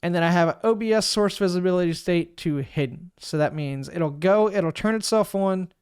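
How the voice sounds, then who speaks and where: Smooth, dry-voiced man explaining software. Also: high-pitched, moderate pace, no echo. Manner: relaxed, thinks aloud while clicking through steps. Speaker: streamer giving a software tutorial. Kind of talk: casual screen-recorded walkthrough. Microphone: desk microphone.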